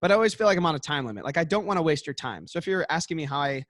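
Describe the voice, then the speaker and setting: A man co-hosting a casual chat podcast, talking quickly with lots of "like" and "you know what I mean.". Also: on the high side, expressive, a bit bright, no room sound, studio quality. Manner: talks fast, says he hurries to get through a point. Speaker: podcast co-host.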